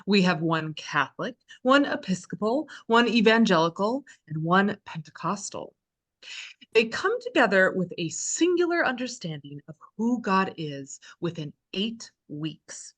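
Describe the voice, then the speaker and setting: A woman playing a chipper, sardonic reality show host in a scripted table read, presenting brightly and slipping into sarcastic asides. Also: medium pitch, sing-song, unhurried. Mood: upbeat presenting.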